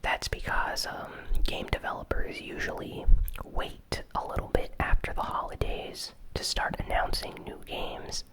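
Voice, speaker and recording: An ASMR news host who speaks entirely in a whisper. The delivery is very slow and gentle, with phrases parcelled out softly as the recap goes along. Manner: soft, unhurried whispering. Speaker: host of a gaming news show.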